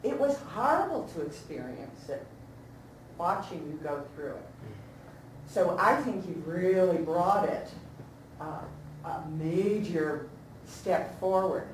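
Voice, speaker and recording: A woman's voice: lowish voice, expressive, slow. A woman informally giving her opinion as an audience member. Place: indoor reverberant room at a talkback. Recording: very echoey, distant recording, faint noise.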